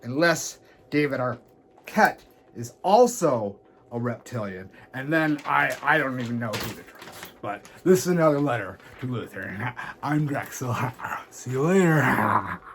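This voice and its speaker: A man's voice: medium pitch, expressive, unhurried, a bit bright. A man who works as a pro wrestler, reading listener letters aloud as a show guest. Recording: noisy background.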